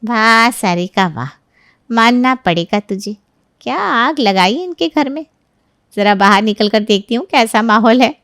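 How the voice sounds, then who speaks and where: A woman acts out a meddling neighbour for a dramatized story. She is sly and gossipy, talking persuasively in a fairly high voice, recorded dry and close. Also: expressive, a little slow, fairly bright tone, no echo. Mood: gloating. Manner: insinuating, persuasive. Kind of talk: Hindi audio story character acting.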